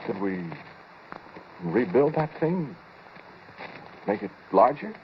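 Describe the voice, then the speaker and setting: An American actor playing a shaken, brooding inventor who tells his story hesitantly and deliberately in 1940s radio drama style. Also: medium pitch, sing-song. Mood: puzzled, musing. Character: shaken, brooding male inventor recounting his time-machine experiment. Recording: muffled, noisy 1940s radio recording.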